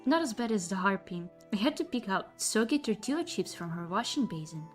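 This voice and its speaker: A woman's voice: mid-range voice, expressive, brisk, soft background music, lightly acted. A woman voicing a polite, teasing female creature who works as a bathhouse attendant in a fantasy story. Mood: musing.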